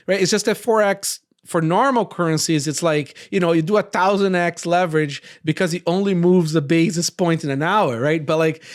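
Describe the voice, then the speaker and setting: A man from Brazil hosting a casual roundtable podcast, rambling and often tagging his points with quick questions. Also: high voice. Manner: rambling, frequent tag questions. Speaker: podcast host.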